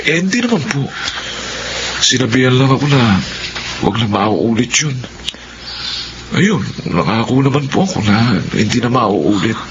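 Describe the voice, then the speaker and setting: An actor playing a polite, earnest young working student and dutiful son in a Filipino radio drama. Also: male voice, mid-range voice, sing-song, a little slow, long pauses. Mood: sheepish, earnest. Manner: polite, deferential. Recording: dull lo-fi radio drama with clear noise.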